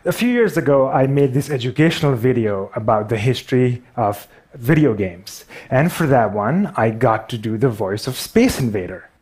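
A man's voice: mid-range voice, expressive, normal speed, hardly any pauses, a little mellow, breathy edge. A man in his thirties speaks with a Pakistani accent in a mid-range voice. He gives a stage talk in a large theater with wry, self-deprecating humor. A headset mic picks him up.

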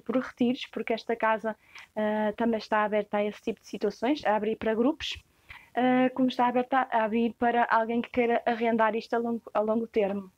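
A woman's voice: on the high side, dry recording, muffled recording. Young woman with a European Portuguese accent, hesitant. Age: young adult. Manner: relaxed and descriptive, with frequent hesitations. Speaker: property tour guide. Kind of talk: casual walkthrough tour. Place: rustic stone farmhouse, indoors and out.

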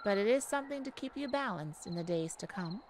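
A woman's voice: medium pitch, animated, background noise, close to an everyday voice. A woman playing a haughty yet kindly goddess of light in an ASMR audio roleplay, theatrical and teasing. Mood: fond, gentle. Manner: theatrical, teasing, mock-offended, with pauses.

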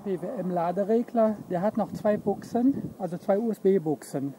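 A middle-aged man with a high, mellow voice explains his gear to camera while sitting on a campsite lawn. He talks easily and gestures along, picked up from a few meters with faint outdoor noise. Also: measured pace, rough recording. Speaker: camping vlog co-host. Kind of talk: casual product explanation. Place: outdoors on a campsite lawn beside a camper van. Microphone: camera mic from a few meters.

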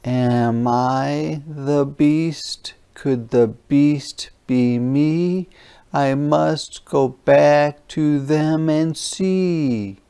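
Very slow picture-book narrator. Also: mid-range voice, warm tone, clear, resonant tone. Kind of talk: expressive picture-book read-aloud.

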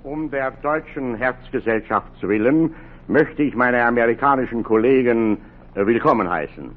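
An actor playing a courteous German professor with a German accent, ceremonious and halting, in a 1940s radio drama. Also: male voice, mid-range voice, faint music behind, natural voice. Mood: welcoming. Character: formal, courteous German professor of heart surgery. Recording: muffled, hissy 1940s radio recording.

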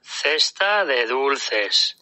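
A man's voice: mid-range voice, big pitch swings, very slow, bright, forceful tone, dry recording. A synthetic male voice in a language app, reading example phrases evenly.

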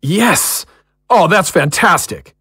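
A gentle, devoted man with a voice that swings widely in pitch. He is sincere and tender, in an expressive audio drama performance recorded very dry. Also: takes it slowly, husky, no room sound, full character voice. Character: earnest, gentle, caring man, devoted partner and friend.

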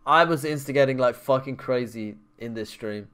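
Bright-voiced twenties male streamer. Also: mid-range voice, normal speed, clean tone. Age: 20s. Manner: loose, profane banter with chat. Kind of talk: casual reaction commentary.

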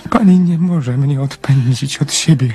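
A man's voice: mid-range voice, unhurried, a little mellow, close to an everyday voice. An actor playing a shy, lovesick young man in a radio play, pleading fervently and stammering over repeated words. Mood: fervent, tearful. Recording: radio drama with music underneath.

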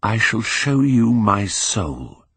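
A contemptuous young gentleman of a Victorian storybook, played by a male narrator. He speaks with hard, bitter mockery and cold pride. The tone is low and husky. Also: very animated, takes it slowly, dry recording, heavily acted. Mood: cold contempt.

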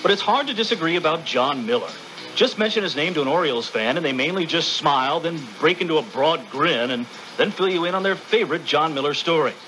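A man's voice: a little high, lively intonation, a little fast, sharp tone, faint music behind, poor audio. A man reading scripted narration as the narrator of a radio documentary.

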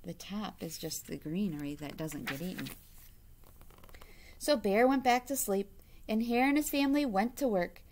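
A woman's voice: medium pitch, moderate pace, darkish tone, slight background noise. A middle-aged woman, a teacher, reading a children's story aloud expressively in a classroom. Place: indoor classroom.

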